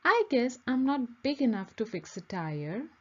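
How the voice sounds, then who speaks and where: A woman voicing an eager, excitable little boy who exclaims a lot. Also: on the high side, unhurried, muffled recording. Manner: bouncy, exclaiming.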